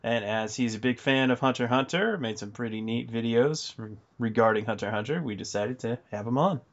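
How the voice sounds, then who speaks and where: A man hosts a casual podcast, easygoing as he recaps a story in a chatty way. His voice is mid-pitched and ordinary. The audio is slightly dull and lo-fi. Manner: easygoing, quick plot summarizing. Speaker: podcast host.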